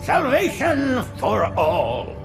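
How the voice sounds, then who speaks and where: An actor playing a frail, zealous old alien prophet in a video game, sermonizing with reverence. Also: male voice, very high voice, huge pitch swings, extremely slow, gravelly, over music, heavily acted. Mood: reverent, fervent. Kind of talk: theatrical video game acting.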